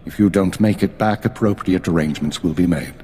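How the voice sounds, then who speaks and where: An actor playing a stern imperial intelligence chief in a video game, speaking with formal, brisk authority. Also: male voice, sits low, dark tone, a little hiss or hum, lightly acted. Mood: grave, resolute. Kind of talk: video game cutscene acting. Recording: reverberant hall, faint music underneath.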